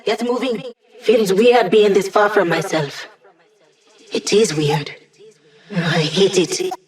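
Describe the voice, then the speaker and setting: A woman's voice: medium pitch, fairly even intonation, slow pace, lightly acted. A woman voicing an upbeat, quirky young hacker who lives as a digital being in a video game, chatty, playful and wry. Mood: brisk, wry. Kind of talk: video game character dialogue.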